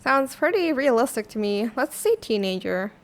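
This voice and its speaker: A woman with a high, youthful-sounding voice hosting a casual software tutorial in a chatty, informal way, thinking aloud as she goes. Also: sing-song, moderate pace, faint music behind. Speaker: tutorial host.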